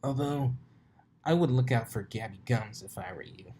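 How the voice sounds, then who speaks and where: A man's voice: medium pitch, moderate pace, mellow, breathy edge, pitched above the performer's own voice, close to an everyday voice. A man voicing a brash, teasing young female pegasus pony from a cartoon story, cocky and easily rattled. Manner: cocky, mocking, exclamatory.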